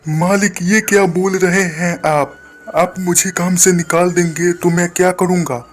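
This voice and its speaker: Man as a meek, higher-voiced poor villager. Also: steady tone, measured pace. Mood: pleading. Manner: meek, plaintive. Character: poor, humble, dejected male villager and samosa seller.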